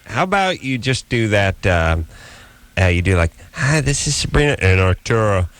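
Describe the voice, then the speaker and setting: Male radio host, crude jokey riffs, very lively pitch, middle-aged. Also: normal speed, no echo. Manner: riffing, crude, joking rants. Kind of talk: late-night call-in radio banter.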